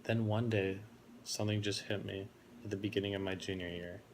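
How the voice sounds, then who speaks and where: A young man in his twenties delivers a prepared speech about himself, earnest and reflective. His voice sits deep and gravelly with a narrow, even melody. A faint hiss runs underneath. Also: a little fast. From Florida, United States. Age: 20s. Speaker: college student giving a speech.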